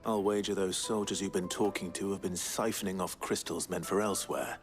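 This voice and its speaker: An actor playing a stoic, brooding swordsman hero in a video game, with a measured, grave, understated delivery. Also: sits low, steady tone, over music, natural voice.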